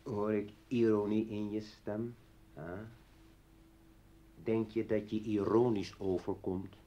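A man's voice: fairly low pitch, flat delivery, slow pace, mellow, highs cut off. An actor playing a bitter, menacing man in a radio play, mocking and brooding as he speaks. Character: bitter, menacing armed man confronting his former partner.

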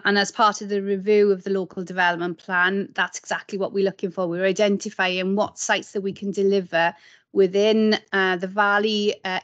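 A woman's voice: mid-range voice, a bit bright. A woman council officer giving a factual answer at a meeting. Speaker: planning officer. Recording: dull video call audio.

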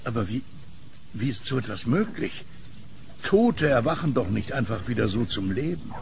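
Casting: male actor as an earnest, puzzled kiosk keeper for horror audio drama, creaky voice with lively intonation. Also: mid-range voice, moderate pace, background noise. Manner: earnest, questioning.

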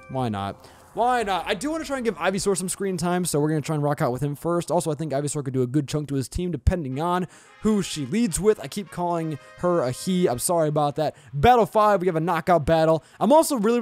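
A young man with a Southern US accent, rambling excitedly and casually as he commentates his Let's Play at home. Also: fairly high pitch. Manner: chatty, rambling, excitable, says bro and yo. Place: home recording setup with webcam. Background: faint game music.

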